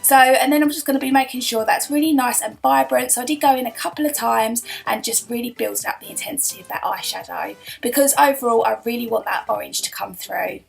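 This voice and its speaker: A young woman, hoarse from a cold, chatting her way through a makeup tutorial voice-over. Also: a little high, fast talker, few pauses, faint music behind. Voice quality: croaky. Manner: chatty, rambling, lots of "um" and "I'm gonna be". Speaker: beauty vlogger.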